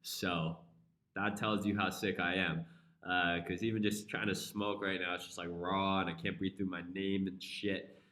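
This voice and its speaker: A man's voice: low-pitched, level tone, normal speed, sharp tone, reverberant. A young man with a flu-congested voice hosting a casual live stream, laid-back and profane as he talks through charts.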